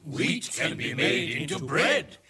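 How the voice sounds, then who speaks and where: A male actor playing an excitable, sycophantic government yes-man in a satirical radio drama, eager in manner. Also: high-pitched, takes it slowly, husky, exaggerated voice.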